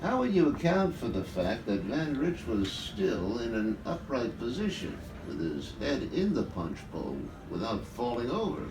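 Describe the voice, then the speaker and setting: A man's voice: mid-range voice, wildly swooping pitch, measured pace, few pauses. A man playing a wisecracking, pushy private eye in a noir radio play, with slangy tough-guy delivery. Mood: probing. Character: wisecracking hard-boiled male private eye, flirty and pushy. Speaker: actor. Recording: echoey, noisy, distant rehearsal recording.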